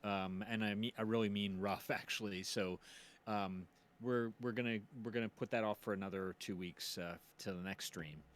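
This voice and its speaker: Male stream co-host, lowish voice, informal and slightly hesitant, talking listeners through the show. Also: fairly even intonation, moderate pace. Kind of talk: casual live stream chat. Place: live voice chat stream.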